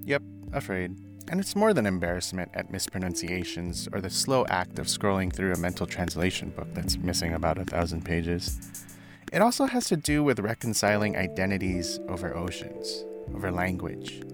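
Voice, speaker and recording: A man from the United States hosting a radio show, narrating in a reflective, measured way and interviewing a guest. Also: low voice, expressive. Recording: music bed under the voice.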